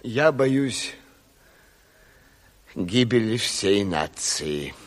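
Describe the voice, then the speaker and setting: Flustered official, slow delivery, swooping intonation, hesitant and pleading, radio drama. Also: male voice, lowish voice, very animated, exaggerated voice. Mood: grave, alarmed. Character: flustered health official, long-winded educated man, alarmed. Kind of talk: 1970s Soviet radio drama acting. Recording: reverberant hall, old radio play recording.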